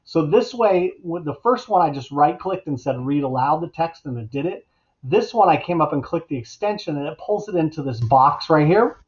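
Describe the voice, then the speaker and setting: A middle-aged man giving a casual, instructional software tutorial as an educator at his computer. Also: fairly high pitch, lively intonation, normal speed. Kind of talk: casual instructional screencast narration. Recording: dull, lo-fi computer mic sound.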